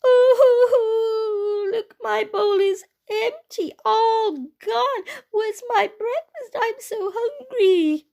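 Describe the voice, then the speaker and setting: A woman voicing a small, easily upset baby bear in a squeaky, childlike voice with wailing complaints. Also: drawn-out words, darkish tone, heavily acted. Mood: tearful, hungry.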